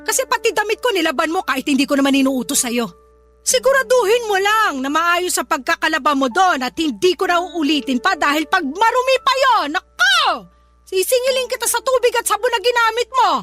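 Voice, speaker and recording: A woman playing a sharp-tongued, sarcastic older mother in a Filipino radio drama, scolding her daughter's boyfriend with a Filipino accent. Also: very high voice, expressive, a little fast, full character voice. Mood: angry scolding. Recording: music bed under the voice.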